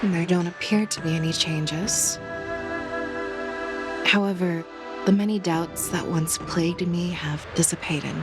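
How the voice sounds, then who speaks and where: An actress plays a proud female warrior general in a fantasy game. Her voice is lowish and unhurried, solemn and blunt, with music underneath. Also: fairly even intonation, lightly acted. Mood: solemn resolve. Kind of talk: video game character acting.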